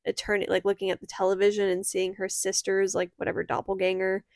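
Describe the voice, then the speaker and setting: A woman's voice: mid-range voice, monotone, quick pace, a little mellow, dry recording, clean studio sound. A woman co-hosting a horror review show, talking in a casual, conversational way about films. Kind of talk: casual conversational film discussion.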